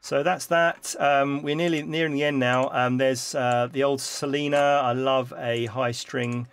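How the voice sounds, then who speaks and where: A man's voice: medium pitch, fairly even intonation, measured pace. A middle-aged man hosting a live stream in his home studio, casually explaining how he builds a track, with frequent ums and restarts. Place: home music studio with keyboard and computer. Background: faint synth music from the session.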